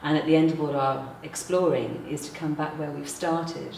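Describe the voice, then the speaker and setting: A woman's voice: low voice, fairly even intonation, moderate pace, gravelly, a little mellow. A woman from Wales hosting a casual conversation in a reflective, encouraging way, often affirming what she hears. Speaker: interviewer, host. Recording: echoey room, distant mic, faint noise.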